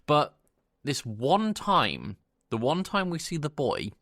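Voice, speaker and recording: A man's voice: on the high side, wildly swooping pitch, slow pace, a bit bright, no echo, studio quality. A man hosting a podcast, giving casual film commentary in a conversational way, rephrasing as he goes. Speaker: podcast host.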